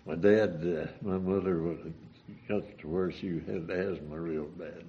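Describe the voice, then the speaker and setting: A man in his eighties, originally from Missouri, answering interview questions haltingly while he searches for words. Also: low-pitched, steady tone, dull audio. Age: 80s. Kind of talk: oral history interview.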